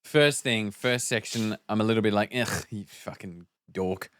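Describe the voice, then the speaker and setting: A man with a low, smooth and resonant voice in a dry recording. He talks casually through a script, explaining his choices and slipping into quick imitations of the characters' lines as he goes. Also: a bit bright, no room sound. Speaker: podcast guest reading and breaking down scripts. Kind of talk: casual script discussion with acted-out example lines.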